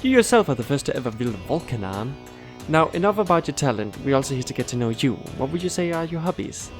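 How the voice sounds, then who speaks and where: A man's voice: medium pitch, sing-song, fast talker, music in the background, close to an everyday voice. A man playing a courteous, encouraging board interviewer in an amateur audio drama, speaking formally. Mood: courteous, impressed.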